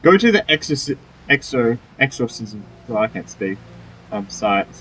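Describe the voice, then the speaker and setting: A man's voice: medium pitch, sing-song, normal speed, a bit bright, slight background noise, low-quality recording. A man giving casual, offhand gameplay commentary as he plays. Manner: informal, offhand reactions. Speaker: gaming video host.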